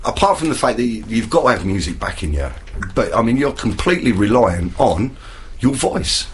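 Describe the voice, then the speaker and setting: Very animated woman radio host. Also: rumbling low, moderate pace, slightly rough. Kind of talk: casual chat, interviewing. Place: community radio studio.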